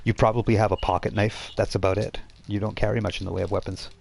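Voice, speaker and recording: Deep-voiced man running a tabletop game. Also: level tone, fast, gravelly, darkish tone, slight background noise. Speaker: game master. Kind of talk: improvised tabletop role-playing narration.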